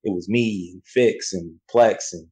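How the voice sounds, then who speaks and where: A young man, a battle rapper, talking about his start in a relaxed, slangy way, often saying "you know what I'm saying". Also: sits low, measured pace, slightly rough. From United States. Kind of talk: casual interview chat.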